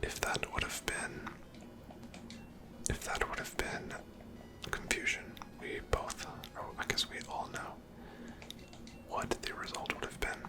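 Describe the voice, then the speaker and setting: A person whispering ASMR gameplay commentary in a breathy, unvoiced voice, gently musing aloud. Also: measured pace, long pauses, poor audio. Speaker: video game streamer narrating own playthrough.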